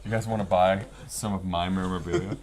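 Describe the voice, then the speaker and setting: A man's voice: deep voice, steady tone, fast, darkish tone. A man around fifty speaking as a guest, telling stories casually in loose, slangy talk. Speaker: interview guest, snowboarding veteran. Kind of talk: casual storytelling while flipping through a book.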